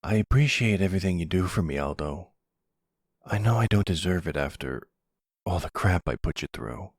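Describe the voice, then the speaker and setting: Guy doing a troubled young son in roleplay audio, sulky n emotional, rough husky voice, dry close mic. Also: sits low, animated, moderate pace, no room sound. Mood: humble. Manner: defensive, casual, emotional. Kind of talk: ASMR roleplay audio drama acting.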